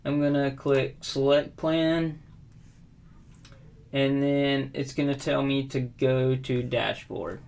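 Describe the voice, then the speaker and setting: A middle-aged man from South Carolina walking through a software setup at his desk, relaxed and unhurried, with frequent ums and uhs. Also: medium pitch, vocal fry. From South Carolina, USA. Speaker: WordPress tutorial host. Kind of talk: casual screen-recorded software tutorial. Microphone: webcam overlay at desk.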